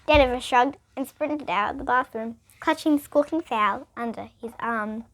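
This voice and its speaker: A female reader, reading a children's picture book aloud in an expressive, emphatic way. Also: a little high, normal speed, a little hiss or hum.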